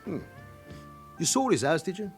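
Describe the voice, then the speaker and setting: An actor playing a slyly genial London club owner with criminal ties in a radio drama, teasing and ingratiating. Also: male voice, high-pitched, big pitch swings, normal speed, faint music behind. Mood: probing. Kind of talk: naturalistic radio drama acting.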